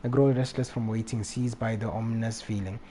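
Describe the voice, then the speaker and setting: A man live streaming a video game, reading text aloud and commenting casually in a halting way. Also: lowish voice, steady tone, dark tone. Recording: faint background noise, game ambience.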